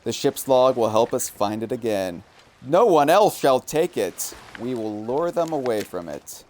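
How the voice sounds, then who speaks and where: A man's voice: mid-range voice, lively intonation, a little slow, darkish tone. A man co-hosting a game stream, casually chatting and reading game text aloud.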